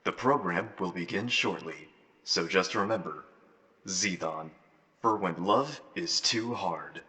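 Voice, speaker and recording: A man's voice: deep voice, takes it slowly, sharp tone, a little hiss or hum, full character voice. A man playing a slick, polished announcer for a futuristic dating company in a scripted audio roleplay. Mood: slick, official. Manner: polished, salesman-like. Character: slick, upbeat male corporate announcer for futuristic dating service.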